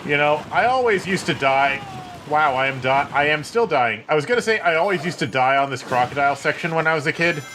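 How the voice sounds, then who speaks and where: A man streaming a video game, rambling, swearing and joking at himself while his voice turns hoarse and tired. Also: on the high side, expressive, fairly quick. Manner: rambling, swearing, self-mocking. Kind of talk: casual gameplay commentary. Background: game music.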